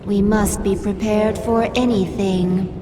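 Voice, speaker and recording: A woman's voice: mid-range voice, steady tone, takes it slowly, noisy background, natural voice. An actress playing a dutiful alien servant of a swarm queen in a video game, formal and reverent. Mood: watchful. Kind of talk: video game creature acting.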